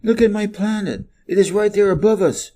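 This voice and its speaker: A man's voice: high voice, moderate pace, warm tone, voice pushed up. An American man voicing a courteous, wondering little boy prince in a storybook, politely and wistfully. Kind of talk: storybook character voice.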